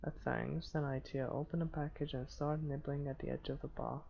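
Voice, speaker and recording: A man hosting a gaming video, reading visual novel narration aloud in a halting way with stumbles. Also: a little high, fairly even intonation, gravelly. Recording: dull lo-fi microphone, faint noise.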